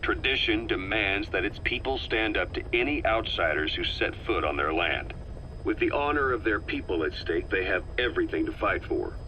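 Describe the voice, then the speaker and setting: A very deep, forceful male voice of a grim, seasoned soldier in a video game, delivering a scripted briefing. He speaks gravely and deliberately, stressing key words, over a faintly noisy tape recording. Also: expressive. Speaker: military briefing narrator in a game.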